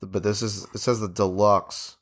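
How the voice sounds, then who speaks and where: A man's voice: fairly low pitch, flat delivery, normal speed. A man with an American accent chatting casually as a podcast co-host, informal and slangy, often jumping in during debate among friends.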